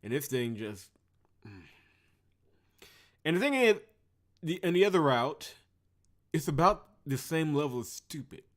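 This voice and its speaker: A man's voice: on the high side, sing-song, a little slow, long pauses. A man doing sarcastic, exasperated game commentary, ranting with swear words, with music behind him. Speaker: visual novel playthrough host. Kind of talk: game commentary and reading dialogue aloud.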